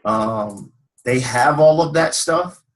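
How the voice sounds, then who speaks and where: A young man runs a video call meeting at a plain middle pitch, speaking casually. He fills his sentences with ums and quick agreements. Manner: casual, many fillers and agreeing murmurs. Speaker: team leader.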